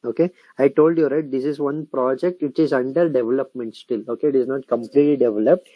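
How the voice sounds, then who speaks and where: A man's voice: mid-range voice, fast talker, no room sound, highs cut off. Indian man walking through a tutorial. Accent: Indian English. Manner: explanatory, frequently checks in with "correct?" and "okay?"; repeats phrases while typing. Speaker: software instructor. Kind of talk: screen-recorded tutorial walkthrough.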